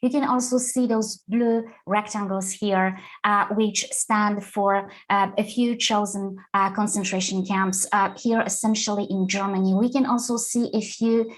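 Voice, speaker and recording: A woman's voice: mid-range voice, steady tone, measured pace, few pauses, low-quality recording. Woman with a Polish-French accent lecturing. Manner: hesitant, many uh and um fillers. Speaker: guest lecturer. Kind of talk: presenting a lecture over slides. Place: online webinar.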